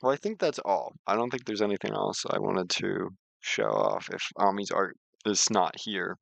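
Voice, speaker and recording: A man talks in a low register with plenty of vocal fry. The sound is dry and close, like a streamer at his desk. He explains his screen informally and wanders as he goes. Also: fairly even intonation, moderate pace, fairly bright tone, no echo. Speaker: streamer and software developer. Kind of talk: casual screen-share walkthrough and chat.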